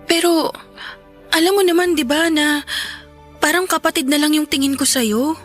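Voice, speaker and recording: A woman's voice: high voice, steady tone, moderate pace, a bit bright, soft background music. A woman playing a torn, pleading young woman in a radio drama, with a Filipino accent and a coaxing manner. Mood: gentle. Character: torn, pleading young woman, best friend in love elsewhere.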